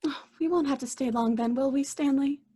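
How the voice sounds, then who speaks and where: An actress playing a young, nervous, reluctant gangster's moll in an old time radio crime drama. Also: female voice, high voice, brisk, warm tone, natural voice. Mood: reluctant, pleading.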